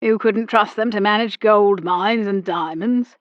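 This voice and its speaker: Woman doing a gruff old captain, clipped and emphatic, slow delivery, dry muffled recording. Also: medium pitch, no room sound, natural voice. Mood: blustering. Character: old British army captain, male, brusque and clipped.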